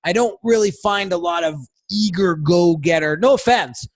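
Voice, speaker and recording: A man's voice: high-pitched, normal speed, no room sound. A man hosting a casual podcast interview, enthusiastic and prone to repeating himself, with the odd swear. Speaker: podcast host.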